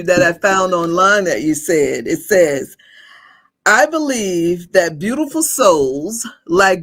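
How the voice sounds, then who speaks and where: A woman hosting a podcast in a casual, effusive way, laughing often. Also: fairly low pitch. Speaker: podcast host. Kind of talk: casual chat.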